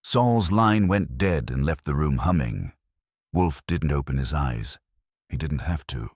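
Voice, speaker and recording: A man reading scripted first-person detective fiction in a noir style, with a gravelly, husky voice. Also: rumbling low, lively intonation, measured pace, a little mellow, no room sound, clean studio sound. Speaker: narrator of a detective story.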